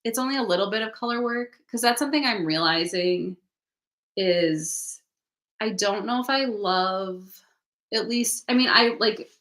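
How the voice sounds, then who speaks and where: A woman's voice: medium pitch, unhurried, fairly bright tone. A woman hosting a casual knitting livestream from home, rambling and thinking aloud, a bit sniffly. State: sniffly from allergies. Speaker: knitting channel host. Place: home craft room, indoors.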